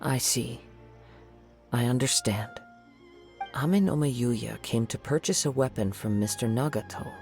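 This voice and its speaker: A man voicing a calm, courteous young wandering swordsman in a game, speaking in a polite, reassuring way. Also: medium pitch, a little slow, gravelly, pauses often, soft background music, heavily acted. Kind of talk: game cutscene voice acting.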